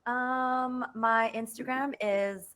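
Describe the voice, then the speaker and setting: A woman's voice: fairly high pitch, fairly even intonation, takes it slowly, fairly bright tone. A woman speaking casually and a little hesitantly as a panelist. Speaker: panelist, audio story writer.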